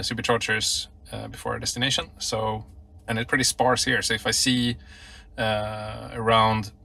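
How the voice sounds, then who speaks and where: A man's voice: lowish voice, vocal fry. A man from Sweden chatting casually while he drives, with lots of ums and you-knows. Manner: relaxed and chatty, with frequent "uh" and "you know". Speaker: vlogger. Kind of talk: casual road-trip commentary while driving. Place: inside a moving car. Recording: loud road noise in the car. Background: road and engine noise.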